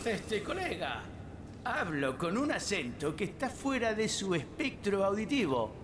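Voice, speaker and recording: A man's voice: high-pitched, sing-song, measured pace, background noise. An actor voicing a frantic, chattering male robot sphere in a Spanish game dub, rambling and urgent. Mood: sly.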